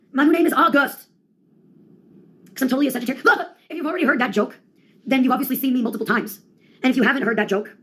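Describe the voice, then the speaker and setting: A young woman with a somewhat high-pitched voice performs a loose, rambling comedy monologue. Her delivery is deadpan and ironic, full of casual slang. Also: breathy edge.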